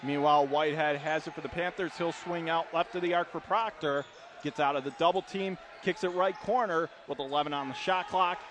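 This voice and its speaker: Male radio play-by-play caller, high register, projected and excitable, courtside amid loud arena noise. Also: fairly quick. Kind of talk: live basketball play-by-play commentary. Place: courtside in an indoor college arena. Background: gym noise, bouncing basketballs.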